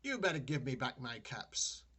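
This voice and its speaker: A man voicing a storybook cap peddler, calling out in an emphatic, drawn-out way as he hawks and scolds. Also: mid-range voice, big pitch swings, pushed and bright, slight background noise. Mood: exasperated scolding. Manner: sing-song drawn-out street calls, emphatic scolding. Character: cap peddler, man, hawking wares, scolding when crossed.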